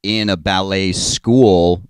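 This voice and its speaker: A young adult man co-hosting a casual podcast, animated and argumentative, laughing easily as he talks. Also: sits low, takes it slowly, smooth, no echo. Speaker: podcast co-host.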